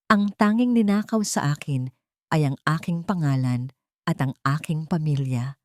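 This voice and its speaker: A loving father read by a woman speaks a solemn farewell in an audiobook drama. The voice is low and tender, recorded dry. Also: a little mellow, no room sound.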